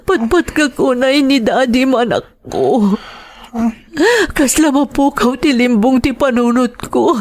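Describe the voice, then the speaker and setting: A woman's voice: high voice, animated, takes it slowly, a little mellow. A middle-aged Filipino woman acting in a radio drama as an anguished wife and mother whose husband is missing, tearful, sighing and pleading. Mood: despairing, tearful.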